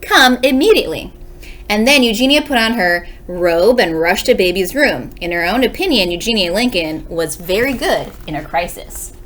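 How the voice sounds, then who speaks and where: A woman's voice: mid-range voice, lively intonation, normal speed, few pauses, fairly bright tone, a little hiss or hum. A woman, a second grade teacher, reading a storybook aloud expressively and explaining it for young listeners. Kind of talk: expressive children's read-aloud.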